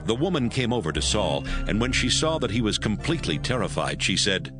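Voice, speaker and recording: A man's voice: fairly low pitch, very animated, brisk, music in the background. A man narrating a dramatized audio Bible as scripted storytelling.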